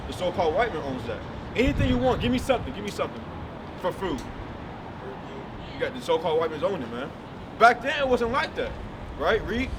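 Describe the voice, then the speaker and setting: Guy street preaching, keeps quizzing folks, fairly high voice, sounds far off w/ loud traffic around. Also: very animated, fairly quick, long pauses. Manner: emphatic, keeps asking the listener questions. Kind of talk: outdoor street preaching with call and response. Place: city street outdoors. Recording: distant mic with loud traffic noise.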